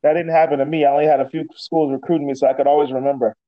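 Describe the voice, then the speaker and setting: Slightly high-voiced host, lo-fi. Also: male voice, flat delivery. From Boston. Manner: chatty, halting restarts. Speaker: podcast host. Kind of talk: casual interview. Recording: dull lo-fi sound.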